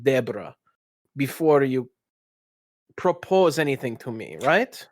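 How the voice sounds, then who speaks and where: A man's voice: mid-range voice, very animated, a little slow, dry recording, studio quality. A man narrating and speaking in character during a casual tabletop role-playing podcast.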